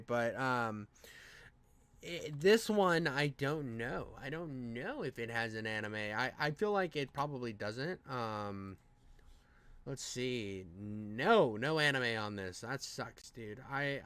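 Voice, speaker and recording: A man's voice: mid-range voice, a bit bright, clear, resonant tone, no echo. A man giving casual, unscripted reaction commentary in a rambling, slangy, enthusiastic way, with some swearing. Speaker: reaction channel host.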